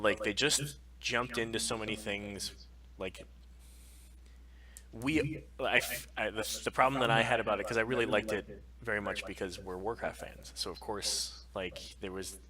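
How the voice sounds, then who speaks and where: A man with a fairly low pitch and a very forceful, bright edge chats casually as a livestream co-host. He talks with emphasis, and he sits a little back from the microphone. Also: moderate pace, far from the mic. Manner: emphatic, frequent swearing. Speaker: podcast co-host.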